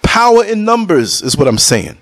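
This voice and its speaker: Vlogger, middle-aged man, casual but preachy, leaps between high and low tones. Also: big pitch swings, normal speed. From New York City. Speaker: vlogger and podcast host. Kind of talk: casual talk over concert footage.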